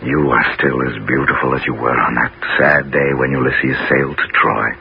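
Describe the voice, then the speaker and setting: An actor playing a commanding middle-aged warrior king in a 1970s radio drama, with deliberate, measured dramatic phrasing. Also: a bass voice, fairly even intonation, vocal fry. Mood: reassuring. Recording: muffled, very noisy 1970s radio recording.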